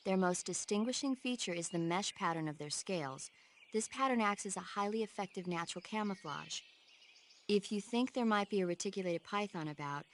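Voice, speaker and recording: An actress playing an earnest, knowledgeable support advisor in a video game, explaining things informatively. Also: female voice, fairly low pitch, slight background noise, close to an everyday voice. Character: knowledgeable female radio support advisor, earnest, easily exasperated.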